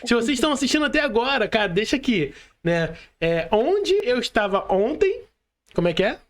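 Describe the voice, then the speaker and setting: A man's voice: very high voice, sing-song, fairly quick. A Brazilian man hosting a casual podcast interview, informal and often checking in with tag questions. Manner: informal, frequent tag questions seeking agreement. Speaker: podcast host interviewing a guest.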